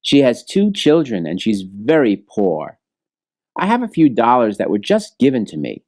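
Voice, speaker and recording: Poor storybook beggar, voiced by a man: compassionate and softly insistent, mid-range voice with animated phrasing. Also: medium pitch, normal speed, dry recording, clean studio sound. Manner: gentle, earnest pleading. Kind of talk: children's storybook read-aloud.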